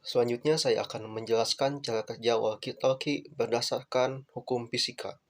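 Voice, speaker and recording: A young man, a student presenter, reading out a class presentation in a plain, measured way. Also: medium pitch, fairly even intonation.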